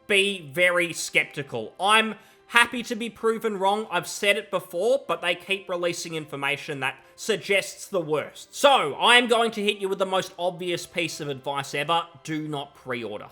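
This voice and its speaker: A man's voice: sits high, lively intonation, normal speed, sharp tone, soft background music. A man in his thirties giving critical, sarcastic reaction commentary on a game, talking into a headset at home. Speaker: gaming commentator. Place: home room.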